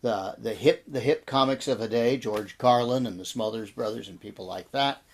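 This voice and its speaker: An American man in his sixties reminiscing in an interview as a guest, telling his story in a reflective, halting way. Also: medium pitch. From American Midwest and Texas. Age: 60s. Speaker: interview guest, audio drama producer and actor.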